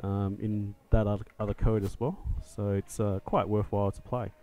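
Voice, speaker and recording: An Australian man co-commentating a pool match, relaxed and talkative. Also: on the low side, normal speed. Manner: relaxed, talkative, a few fillers. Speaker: co-commentator and league organiser. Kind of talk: live sports commentary.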